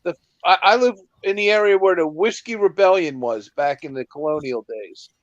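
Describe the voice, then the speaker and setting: A man's voice: high voice, normal speed, no echo, highs cut off. A man from Pennsylvania, a guest on a livestream chat, telling long rambling stories. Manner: rambling storyteller. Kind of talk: casual group chat on a livestream.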